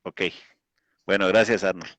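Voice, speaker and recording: A man with a Colombian accent and a low-pitched voice talks as a lay religious debater. He is measured and conciliatory, finding his words as he goes and repeating phrases. The recording is dry. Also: fairly even intonation, a bit bright, no echo. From Colombia, Boyacá. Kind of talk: extemporaneous religious debate introduction.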